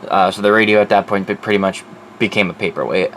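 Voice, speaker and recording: A man with a fairly low voice talks as a hobby collector showing his things. His delivery is informal and unscripted, with loose, salty language. A faint steady noise sits behind him. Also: fast. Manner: informal, profane. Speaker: collector presenting his weather radio collection. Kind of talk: casual off-the-cuff show-and-tell.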